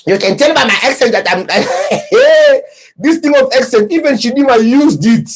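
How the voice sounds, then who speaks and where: A man's voice: very high-pitched, expressive, measured pace, slightly rough. A man hosting a podcast, giving heated, mocking reaction commentary. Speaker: podcast host.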